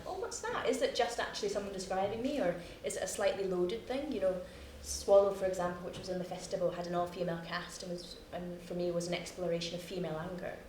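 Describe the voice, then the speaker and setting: A woman's voice: on the low side, a little mellow. Scottish playwright, young woman, chatty and self-mocking, heard from afar over a noisy room. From Scotland. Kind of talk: post-show discussion. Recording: echoey room, distant mic, noisy.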